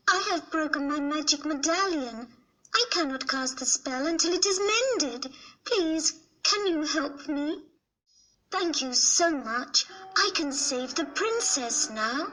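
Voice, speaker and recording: A woman voicing a kindly good fairy, with a soft, reassuring delivery. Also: sits high, full character voice, low-quality recording. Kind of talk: storybook character reading.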